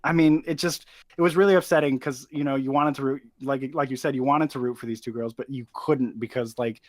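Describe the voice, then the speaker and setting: Male podcast guest, medium pitch, dry close recording, earnest and filler-heavy. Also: steady tone, brisk, no echo. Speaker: podcast guest, film content creator. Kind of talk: casual movie chat.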